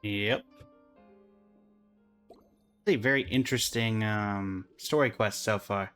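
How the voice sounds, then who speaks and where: A man's voice: on the low side, slow pace, fairly bright tone, clean tone, faint music behind. A man doing casual live commentary on a game as a streamer, with dry, wry asides.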